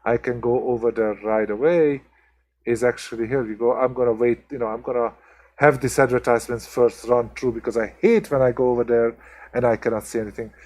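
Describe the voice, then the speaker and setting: A man's voice: mid-range voice, steady tone, brisk, darkish tone. A man from Hungary with a strong Hungarian accent, chatting casually with viewers as the host of a live stream, full of ums and restarts. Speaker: livestream host.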